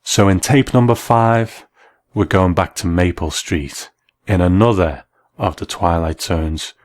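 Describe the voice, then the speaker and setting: A man hosting a show, delivering measured, scripted commentary in the manner of an appreciative reviewer. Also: low-pitched. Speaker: host. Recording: faint music under the voice. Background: soft background music.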